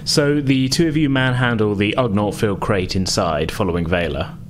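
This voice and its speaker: Low-voiced man narrating a tabletop game. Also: moderate pace, slight background noise. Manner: conversational, amused, hedging. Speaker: game master.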